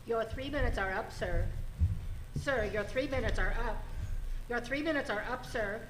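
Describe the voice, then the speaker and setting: Woman acting female switchboard operator, highish voice, clipped formal manner, radio play, heavy noise. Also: normal speed, echoey room, lightly acted. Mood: insistent, detached. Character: brisk, impersonal female long-distance telephone operator. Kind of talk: old-time radio drama acting.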